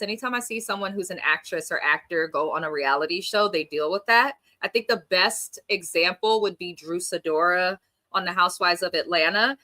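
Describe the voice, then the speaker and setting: Bright, chatty woman with a stuffy nose. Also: medium pitch. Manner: chatty, animated, slangy. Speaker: reality TV reviewer. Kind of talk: casual recap and reaction.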